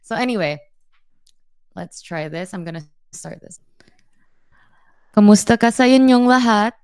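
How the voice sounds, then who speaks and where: A woman's voice: mid-range voice, expressive, measured pace, a little mellow, clean tone, no room sound, clean studio sound. A woman co-hosting a casual livestream demo over a video call, talking in a friendly, easygoing way. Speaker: co-host.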